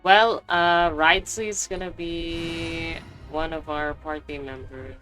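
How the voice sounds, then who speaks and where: Unhurried, lowish-voiced woman streaming a game. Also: steady tone, a bit bright, low-quality recording. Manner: slangy, playful. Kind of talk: casual gameplay commentary. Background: game music.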